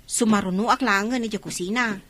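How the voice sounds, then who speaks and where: A woman playing an unhurried, discreet household relative in a Philippine radio drama. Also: mid-range voice, a bit bright, lightly acted. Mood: discreet, musing.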